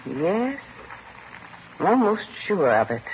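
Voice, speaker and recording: An old radio recording, slightly dull and noisy, of a gossipy American neighbour woman. She sounds eager, with a very lively, swooping delivery. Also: medium pitch, takes it slowly. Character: eager, gossipy female apartment tenant. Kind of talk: 1950s radio drama acting.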